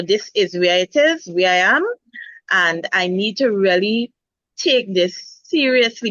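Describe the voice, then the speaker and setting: A woman's voice: fairly high pitch, fairly bright tone, no echo, muffled recording. A woman nurse speaking earnestly in a casual health talk, restarting words as she thinks. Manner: earnest, repeats herself while finding words. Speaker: nurse, guest.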